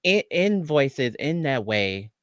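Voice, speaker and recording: A man's voice: medium pitch, big pitch swings, slow, no echo, clean studio sound. A man hosting a casual interview over a video call, slangy and affirming, asking long rambling questions. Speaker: host.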